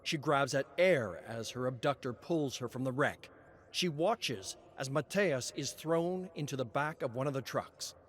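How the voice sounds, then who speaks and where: A man reading scripted narration as a storyteller, solemn and measured, with dramatic pauses. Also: mid-range voice.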